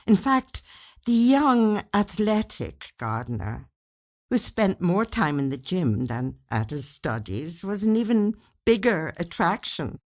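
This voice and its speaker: A woman narrates a short story in a low, slightly husky voice. She takes her time, and her intonation moves widely as she tells the tale expressively. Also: big pitch swings, slow pace.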